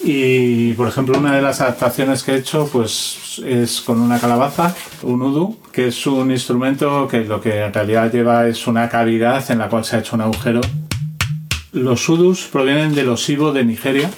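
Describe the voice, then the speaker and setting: Craftsman in his 50s, Spain accent, mid-pitched, informal hands-on explainer, faint noise behind him in a workshop. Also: steady tone, moderate pace. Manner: demonstrative, checking with short tag questions. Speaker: instrument maker being interviewed. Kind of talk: casual explanatory talk. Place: instrument workshop. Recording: noisy on-location interview recording.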